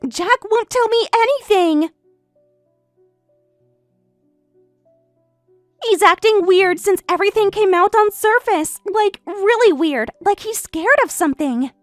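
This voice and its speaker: A woman's voice: very high-pitched, no room sound. A woman voicing a smug, catty young woman who stole her best friend's husband, taunting and boastful in a voiced story drama. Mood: indignant.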